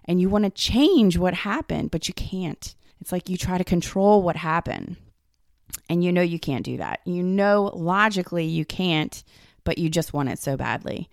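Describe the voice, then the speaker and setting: Slightly raspy, hoarse woman with a cold, lowish voice and dry close sound, loose conversational solo podcast talk. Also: animated, moderate pace, no room sound. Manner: loose, conversational, many "like" and "kind of". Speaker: podcast host.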